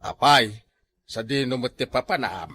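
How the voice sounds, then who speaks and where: Man acting bright, animated older Ilocano villager. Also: mid-range voice, measured pace. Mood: nosy, exclaiming. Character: nosy older village man, teasing and bossy. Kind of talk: Ilocano barangay comedy skit acting.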